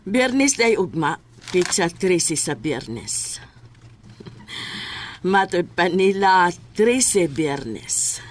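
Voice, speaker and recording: A woman plays a grave mother who keeps secrets in a horror audio drama. Her words come slowly and drawn out in a fairly low voice. There is audible noise behind her. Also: very animated, full character voice. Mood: grave, ominous. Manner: slow, drawn-out delivery.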